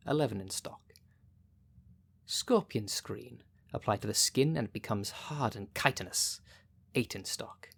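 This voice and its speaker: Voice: mid-range voice, unhurried, vocal fry, slightly rough. A dry, bossy city witch, acted out in ASMR roleplay. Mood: matter-of-fact, dry. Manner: dry, matter-of-fact, casually bossy. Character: sardonic, brisk witch shopkeeper of a city potion shop, female. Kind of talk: ASMR roleplay, speaking directly to the listener.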